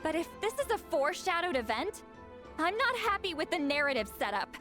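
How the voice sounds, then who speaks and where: High female voice, proud prickly shaman, video game drama, insistent, sardonic, youthful despite great age. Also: very animated, brisk, a bit bright, soft background music, full character voice. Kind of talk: video game character acting.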